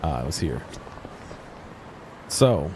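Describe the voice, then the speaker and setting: Low-voiced man musing over a game. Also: big pitch swings, takes it slowly, darkish tone, a little hiss or hum. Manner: musing, thinking aloud. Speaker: gameplay video host. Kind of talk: casual unscripted game exploration commentary.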